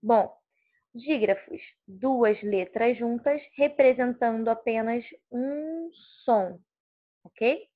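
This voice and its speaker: A woman teaching a lesson on letters and sounds, explaining step by step and often checking with "ok?". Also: fairly high pitch. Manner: slow, explanatory, checks understanding with "ok?". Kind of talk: recorded classroom lesson. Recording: tinny phone-like sound.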